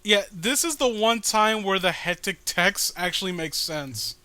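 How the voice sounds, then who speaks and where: Male host, high clear voice, casual comic commentary, crude jokes. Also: lively intonation, unhurried, bright, forceful tone, dry recording. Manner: crude, jokey. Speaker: host reading a webcomic with a friend.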